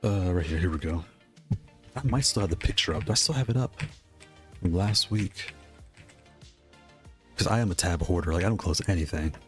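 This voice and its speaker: Deep-voiced guy streaming, rambly and chatty, voice getting worn out, faint music under him. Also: normal speed, long pauses. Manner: rambling, shouts out chat viewers, laughs often. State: losing his voice. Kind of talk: casual live-stream chat.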